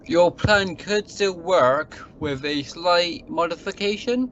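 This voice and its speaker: A man playing a cynical, unemotional genius scientist astronaut in an amateur radio play, pedantic and deadpan. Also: high-pitched, takes it slowly. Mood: detached. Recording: muffled home-made recording, faint noise.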